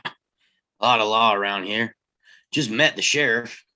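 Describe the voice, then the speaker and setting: A man's voice: lowish voice, measured pace, bright, forceful tone. An actor playing a cool, confident, laconic frontier lawman in a screenplay table read. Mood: businesslike. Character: self-assured western ex-lawman, cool and practical.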